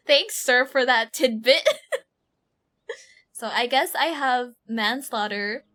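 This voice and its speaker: A woman livestreamer chatting casually and slangily as she plays a game, rambling along in a giggly, animated way. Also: a little high, takes it slowly. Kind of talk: casual livestream chat while playing a game. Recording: game music behind the voice. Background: video game music.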